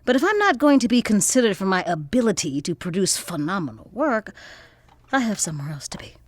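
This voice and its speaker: Husky, proud young American woman. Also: mid-range voice, big pitch swings, a little fast. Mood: guarded, defiant. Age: young adult. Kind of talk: audio drama acting.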